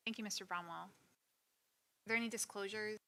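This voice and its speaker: Woman chairing a meeting, brisk procedural manner, bright clear tone. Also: mid-range voice, fairly even intonation. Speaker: commission chair.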